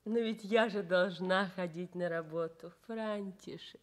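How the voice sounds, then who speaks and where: A woman's voice: fairly low pitch, unhurried, natural voice. An actress playing a devoted, anxious young nurse caring for her sick husband in a Soviet radio drama. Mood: tender, consoling.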